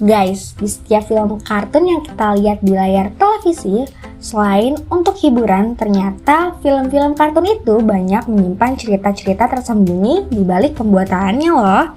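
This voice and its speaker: A woman's voice: medium pitch, animated, normal speed, warm tone. A woman reading a scripted explainer narration as the narrator of a video channel. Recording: music bed under the voice. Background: background music.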